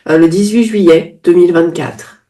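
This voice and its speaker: A woman's voice: lowish voice, mellow. A woman giving consecutive interpretation of a sermon into French, restating each phrase promptly and sometimes correcting herself mid-sentence. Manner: quick restating, occasional self-corrections.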